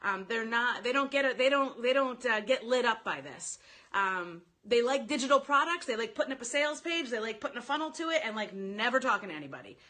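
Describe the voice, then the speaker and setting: Female online sales coach, higher-pitched and very bright, informal and animated, speaking straight to a live audience of commenters. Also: a little fast. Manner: animated, informal, addresses listeners directly, quotes sample phrases.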